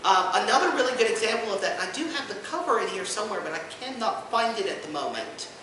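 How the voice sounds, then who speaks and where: A very echoey, noisy room carries this man's voice from some distance. He is presenting at a fan panel in a high-pitched voice, informal and detailed in his explanations. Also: animated, fast talker, bright, forceful tone. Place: convention panel room, indoors.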